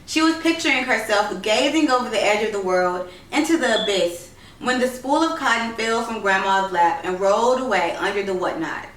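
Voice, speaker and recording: A woman's voice: medium pitch, expressive, normal speed, a bit bright, recorded from a distance. A woman narrating a literary short story, reading aloud from the text. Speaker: story reader. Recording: roomy echo, noisy, off-mic home recording.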